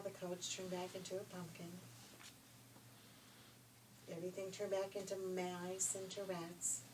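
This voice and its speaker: An older woman telling a fairy tale informally, in a folksy, expressive, chatty way. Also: medium pitch. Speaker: storyteller. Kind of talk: informal read-aloud fairy tale storytelling with asides. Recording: distant mic with faint background noise.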